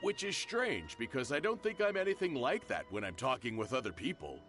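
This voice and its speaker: A man's voice: medium pitch, sing-song, a little fast, a bit bright. A man playing a genial, self-deprecating middle-aged shopkeeper and father in a video game. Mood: earnest, friendly. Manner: friendly, familiar, insistent. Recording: music under the voice.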